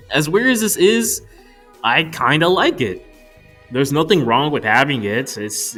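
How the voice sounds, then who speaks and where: A man's voice: mid-range voice, fairly bright tone. Casual male reviewer over music. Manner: wry, profane, mock-exasperated. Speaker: movie reviewer. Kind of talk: casual video review narration with jokes.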